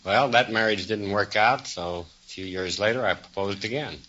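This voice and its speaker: A man's voice: fairly low pitch, moderate pace, lo-fi sound, very noisy. A middle-aged American man from Missouri answering as a quiz contestant, plainspoken and matter-of-fact, on a vintage radio recording. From Moberly, Missouri, USA. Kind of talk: brief answers in an on-air interview.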